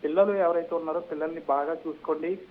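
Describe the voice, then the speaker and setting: A man's voice: on the high side, steady tone, fast. A man giving a live news report as a field correspondent, over a narrow phone-like connection. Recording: narrow phone-like line, faint noise.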